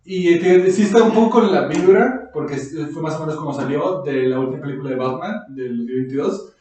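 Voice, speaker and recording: A Mexican man with a slightly high voice chats loosely on a podcast, thinking aloud in rambling sentences. He sounds set back from the microphone in a very echoey room. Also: a little fast, a little mellow, recorded from a distance. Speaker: podcast host. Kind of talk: casual chat.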